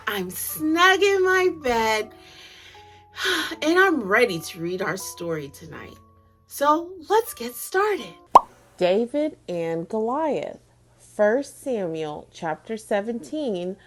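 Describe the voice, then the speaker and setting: A woman in her thirties hosting bedtime stories for children, with exaggerated, deliberate phrasing as she reads to young listeners. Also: fairly high pitch. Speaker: host of a children's bedtime story series. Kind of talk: children's read-aloud, storytelling to young listeners. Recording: music behind the voice. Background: music.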